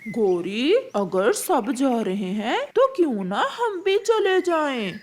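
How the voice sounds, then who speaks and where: A woman's voice: a little high, big pitch swings, slow pace, slight background noise. A woman voicing a hesitant, grumbling male crow husband in a children's cartoon, plaintive in manner. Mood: pleading.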